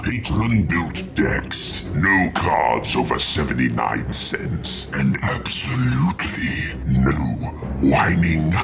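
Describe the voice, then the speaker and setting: Show narrator: very low, gravelly man, slow and dry, over a loud music bed. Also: sing-song. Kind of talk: scripted intro narration.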